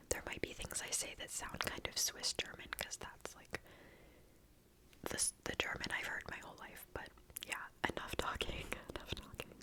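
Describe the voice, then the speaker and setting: A young woman whispering an ASMR language lesson close to the mic, softly repeating words, with casual chatty asides. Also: very high voice, gravelly, rough recording. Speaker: ASMR creator teaching basic German. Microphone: close sensitive mic.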